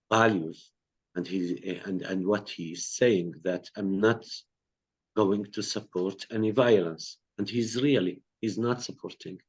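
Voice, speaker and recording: A man's voice: on the low side, slightly rough, low-quality recording. A man speaking earnestly on an online panel, often repeating words as he searches for how to say things. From Palestine. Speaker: co-director of a peace network, panel speaker.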